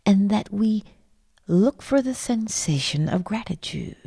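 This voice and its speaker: A woman guides a sleep meditation in a very breathy, husky half-whisper. She speaks slowly and soothingly, pausing often and offering gentle encouragement. The sound is close and dry. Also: medium pitch, expressive, no room sound.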